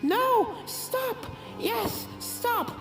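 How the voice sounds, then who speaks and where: A woman's voice: very high-pitched, lively intonation, very slow, voice pushed up, heavily acted. A woman voicing a pair of playful little ghost colts, five-year-old boys who echo each other in a childlike sing-song for a story reading. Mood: pleading. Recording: music behind the voice.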